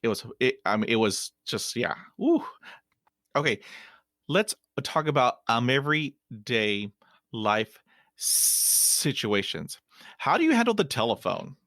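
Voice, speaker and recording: A man's voice: medium pitch, sing-song, slow pace, fairly bright tone, no echo, studio quality. A man hosting an interview who stutters, breaking off words and repeating them. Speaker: podcast host. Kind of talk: casual interview talk.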